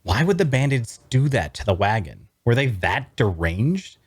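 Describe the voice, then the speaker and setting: A man playing a brooding adventurer, narrating his grim journey in a measured, deliberate way at a scripted table read. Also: mid-range voice, very animated, breathy edge, dry recording, studio quality.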